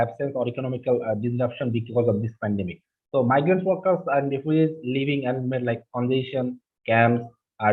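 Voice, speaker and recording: A man from Bangladesh whose first language is Bengali, presenting slides in a webinar, halting with many fillers and restarts. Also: mid-range voice. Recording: husky, phone-like online call audio.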